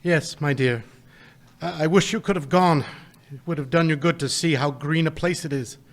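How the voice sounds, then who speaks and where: An actor playing a gentle, poor father who speaks tenderly to his family about his lost little son in a live radio play. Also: a little high, normal speed, natural voice. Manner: tender, soft, choked-up.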